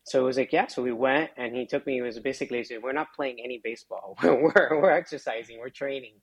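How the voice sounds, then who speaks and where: A man's voice: mid-range voice, fast, husky, poor audio. A middle-aged man from South Florida, a physician associate, answering interview questions in a conversational way, full of fillers like "um" and "you know". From South Florida, United States. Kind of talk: interview answers, life story.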